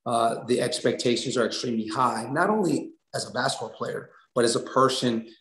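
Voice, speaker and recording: A man's voice: mid-range voice, brisk, fairly bright tone. A middle-aged American man, a coach giving earnest, encouraging answers in a conversational interview over a video call. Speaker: interview guest, assistant basketball coach. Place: small indoor room on a video call. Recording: roomy, echoing room sound.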